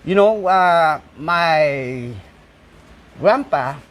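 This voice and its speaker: A man's voice: fairly high pitch, expressive, very slow, slight background noise. A man, a farmer and bull cart maker, talking in a casual interview with many fillers and restarts. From Saipan, Northern Mariana Islands. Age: 60s. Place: outdoors at his home.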